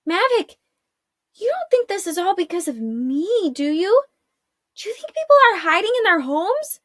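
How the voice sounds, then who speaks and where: Woman voicing a young orphan girl, frightened, high-pitched, breathless and anxious, storybook. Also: sing-song, moderate pace, no echo, clean studio sound.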